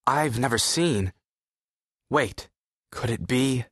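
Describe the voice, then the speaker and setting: An actor playing a bored, sarcastic young prince with an aristocratic, disdainful manner, in an audiobook. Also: male voice, mid-range voice, lively intonation, takes it slowly, fairly bright tone, no echo, clean studio sound. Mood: wry disdain. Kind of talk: full-cast audiobook character acting.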